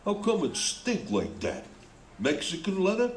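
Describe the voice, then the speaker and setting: A man's voice: high-pitched, wildly swooping pitch, unhurried, voice pushed up, exaggerated voice. A man voicing a stingy, suspicious fence who haggles in a whiny, needling, streetwise manner for a radio play. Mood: sniffy, dismissive. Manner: streetwise, needling, answers in questions. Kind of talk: radio drama acting. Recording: roomy, with faint background noise.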